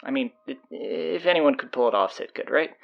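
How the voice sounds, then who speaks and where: Gravelly, hesitant high school announcer played by a man. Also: animated, a little fast, muffled recording, soft background music. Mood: awkward, deadpan. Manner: hesitant, rambling, casual, dryly exasperated. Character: flustered, sardonic high school stand-in morning announcer. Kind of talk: audio drama performed as rambling PA announcements.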